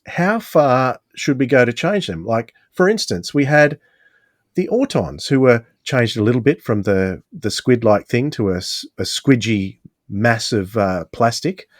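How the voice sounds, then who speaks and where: A man with a slightly low voice talks as a podcast host in a casual, conversational way. The sound is dry and close, with very little room in it. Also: dry recording.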